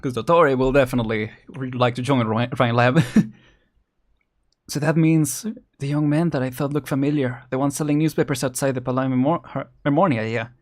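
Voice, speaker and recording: A man's voice: mid-range voice, fairly even intonation, a little fast. A man giving casual, rambling, chuckle-prone live commentary as he streams a video game. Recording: game music behind.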